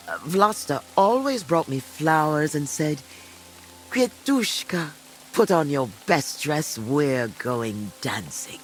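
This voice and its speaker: An actress playing a frail, wistful old village widow in a medieval video game, speaking in a halting, fond way. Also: female voice, low voice, exaggerated voice, poor audio. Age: older. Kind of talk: dramatic video game dialogue.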